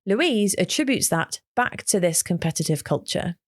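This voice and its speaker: Podcast narrator, female, lively and varied intonation, recorded dry. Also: fairly low pitch, normal speed, no echo. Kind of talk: scripted narration.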